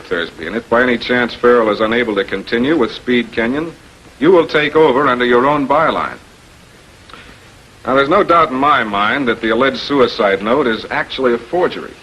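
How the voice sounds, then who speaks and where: A shrewd American public prosecutor, played by a male actor in a 1940s television crime drama. He has a medium-pitched voice and questions suspects methodically and with authority. The old film soundtrack carries faint noise. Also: a bit bright, highs cut off, lightly acted. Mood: pressing, accusing.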